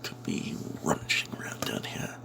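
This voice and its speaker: A man tells a tabletop fantasy adventure as an in-character narrator, in a breathy, hushed, secretive ASMR whisper with dramatic pauses. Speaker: narrator telling the tale in character as a cleric.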